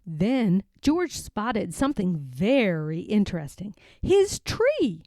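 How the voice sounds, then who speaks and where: Slow expressive woman reading a storybook. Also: mid-range voice, no room sound, clean studio sound. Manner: expressive, slow, sing-song. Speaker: children's book reader. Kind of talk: storybook read-aloud.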